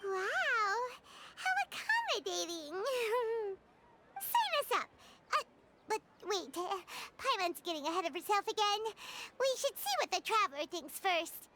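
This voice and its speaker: A woman voicing a tiny bubbly fairy companion who is greedy and boastful, chattering excitably and calling herself by name. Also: very high voice, measured pace, slight background noise, exaggerated voice. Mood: chirpy. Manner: excitable, speaks of herself in third person. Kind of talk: video game voice acting. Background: game music.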